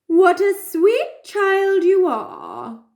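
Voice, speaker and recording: A woman's voice: very high-pitched, huge pitch swings, extremely slow, a little mellow, reverberant, pitched above the performer's own voice, heavily acted. A woman voicing a sly male big bad wolf in a bedtime story, coaxing and growling with false sweetness.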